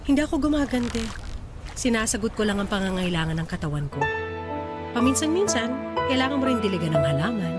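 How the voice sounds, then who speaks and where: Rich middle-aged wife, swooping intonation, teasing, knowing, radio drama, loud music behind. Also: female voice, fairly high pitch, sing-song, fairly quick. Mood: wry, frank. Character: wealthy fifty-year-old wife, worldly, teasing, weary of marriage. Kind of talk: Tagalog radio drama acting. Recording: music under the voice. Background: loud background music.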